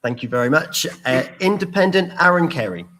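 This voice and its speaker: A man's voice: fairly high pitch, lively intonation, brisk, bright, forceful tone, low-quality recording. A man moderating a hustings, briefly and formally announcing each speaker. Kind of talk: introducing speakers.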